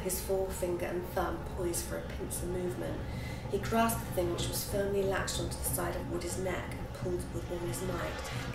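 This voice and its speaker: A woman author narrating a chapter from her own children's book in an expressive, lively reading style. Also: on the low side, creaky. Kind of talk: storybook narration. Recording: roomy with background noise.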